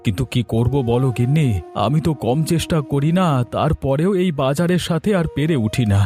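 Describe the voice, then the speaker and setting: A man voicing a poor, hard-pressed village labourer and father in a cartoon, gentle and resigned in manner. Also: mid-range voice, fairly quick, soft background music, close to an everyday voice. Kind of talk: cartoon story dialogue.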